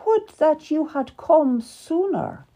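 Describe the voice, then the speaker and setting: A woman voicing a dignified, wronged middle-aged queen, speaking slowly and formally in a raised, strained tone. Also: sits high, sing-song, warm tone, dull audio, pitched above the performer's own voice, exaggerated voice. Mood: icy. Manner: slow, measured and formal. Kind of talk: audiobook character reading.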